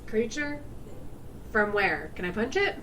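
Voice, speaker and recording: A woman's voice: mid-range voice, very animated, measured pace. A woman playing a grumpy, impatient, combative woman with dry exasperation in an audio drama. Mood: exasperated, gruff. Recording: very noisy, distant home microphone.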